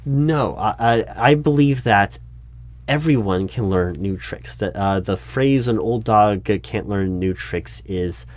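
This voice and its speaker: A man playing a polite, awkward corporate recruiting manager in a one-sided interview roleplay, speaking out loud with a hesitant, stammering, formal manner. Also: fairly low pitch, takes it slowly, no room sound. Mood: earnest, rambling. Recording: steady background noise, keyboard typing. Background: computer keyboard typing.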